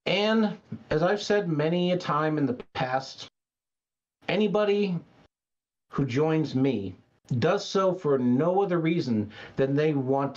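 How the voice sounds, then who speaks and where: A man, a guest wrestler, speaking slowly and with self-assurance on a podcast video call. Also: medium pitch, dull audio.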